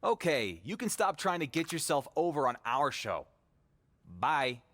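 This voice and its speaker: An actor playing a confident, cocky young wrestling champion in a video game cutscene. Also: male voice, fairly high pitch, very animated, unhurried, a bit bright, no echo. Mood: dismissive.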